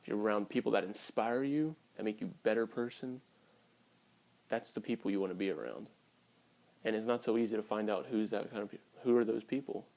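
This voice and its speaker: A man's voice: fairly low pitch, fairly quick, creaky, a little mellow, low-quality recording. A man in his early twenties talking casually and candidly to his viewers in a blunt, sweary vlog style. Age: early 20s. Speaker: vlogger.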